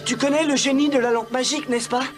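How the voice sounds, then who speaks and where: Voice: high voice, fairly even intonation, quick pace, loud music behind the voice, close to an everyday voice. A performer playing an earnest, determined young male hero in a children's cartoon, speaking with urgency and command. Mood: alarmed, urgent. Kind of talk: dubbed children's cartoon acting.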